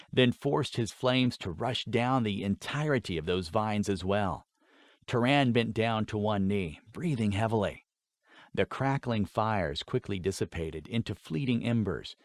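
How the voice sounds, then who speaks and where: A man's voice: fairly low pitch, no room sound, studio quality. A man reading scripted fantasy fiction as a dramatic audiobook narrator.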